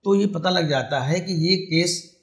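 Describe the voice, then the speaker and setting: A man with an Indian accent and a slightly high voice speaks as an expert guest. He explains his field in conversational interview answers, dropping in technical terms. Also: a little slow.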